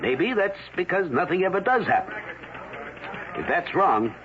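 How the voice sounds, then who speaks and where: A weary older editor who brushes off and warns his reporter in a vintage radio drama. His voice sits a little high and moves around a lot. The sound is thin and phone-like, with clear hiss. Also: sing-song, moderate pace. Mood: warning. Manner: gruff, dismissive warnings. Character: weary older male newspaper editor, cautious. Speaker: newspaper editor. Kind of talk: 1970s radio drama acting. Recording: tinny, hissy old radio recording.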